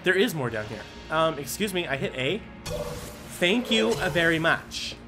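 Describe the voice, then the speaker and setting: Young man, gameplay commentary, excitable, stammering, game music loud behind him. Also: fairly high pitch, unhurried. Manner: excitable, exclaims often, stammers and restarts. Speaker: gaming YouTuber. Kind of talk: casual let's play commentary.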